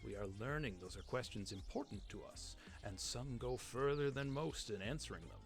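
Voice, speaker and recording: Man voicing a mournful fantasy game narrator, male, creaky, grave, measured, solemn, music behind. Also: mid-range voice, lively intonation. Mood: solemn wonder. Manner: solemn, measured, hushed at times. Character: grave, mournful storyteller of an ancient fantasy world. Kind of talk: slow dramatic reading of fantasy game text.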